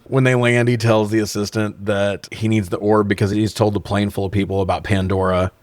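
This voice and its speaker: A man with a fairly low voice speaks in a very dry, close recording. He recaps a movie casually as a podcast co-host. His delivery is sarcastic, profane and amused. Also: little pitch movement, a little fast, darkish tone, dry recording. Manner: sarcastic, profane, laughs through remarks.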